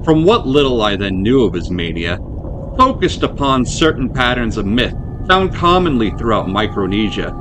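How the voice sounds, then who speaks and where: A man reading a horror story aloud as host and narrator, in a measured, formal way. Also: lowish voice, big pitch swings. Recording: clearly noisy background.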